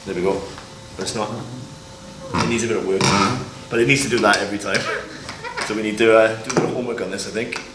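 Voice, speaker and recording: A man giving an informal, explanatory demonstration as a Foley artist, pausing as he shows each item. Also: lowish voice, fairly even intonation. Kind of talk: informal demonstration of sound-effect props. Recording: echoey, distant mic, loud noise and clicks.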